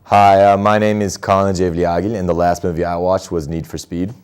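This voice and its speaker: A man's voice: sits low, little pitch movement, a little fast, a little mellow, clean tone. A young man chatting casually as a contestant on a quiz. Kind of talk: casual chat.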